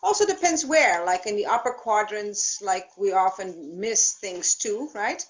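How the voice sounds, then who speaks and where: Dutch-accented woman explaining clearly. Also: mid-range voice, animated, rough recording. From Netherlands. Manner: explains step by step, with frequent pauses. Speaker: thermography practitioner, guest. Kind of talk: explanatory interview. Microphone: video call.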